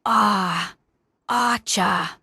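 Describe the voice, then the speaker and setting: Woman acting as wounded female knight, solemn, bright strained edge, slow, earnest, audio drama. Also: mid-range voice, vocal fry, breathy edge, no echo, clean studio sound, full character voice. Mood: tense dismay.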